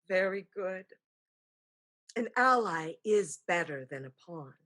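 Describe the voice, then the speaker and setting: A woman playing an elder ancestral spirit, a wise, pragmatic aunt, in a deliberate, knowing staged reading. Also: on the high side, a bit bright, heavily acted. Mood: musing, calculating. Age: older. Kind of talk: staged play reading, dramatic and measured.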